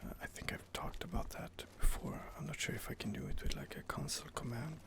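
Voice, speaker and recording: A man whispering softly and rambling as he thinks aloud, doing ASMR game commentary close to the microphone. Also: medium pitch, fast, gravelly. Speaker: streamer playing a roleplay game.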